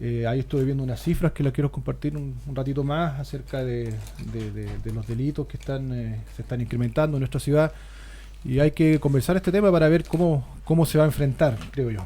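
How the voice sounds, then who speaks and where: A man's voice: mid-range voice, a little fast, mellow, a little hiss or hum. A man with a Chilean accent, a local shopkeeper speaking as a panelist on a radio talk show, earnest with some repeated words. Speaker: panelist, shopkeeper and council candidate. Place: radio studio.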